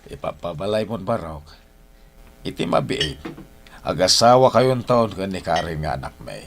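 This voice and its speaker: A man's voice: deep voice, very animated, normal speed, creaky, a little hiss or hum, heavily acted. A man with an Ilocano accent playing a solemn, caring older father in a radio drama. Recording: radio drama with loud music bed.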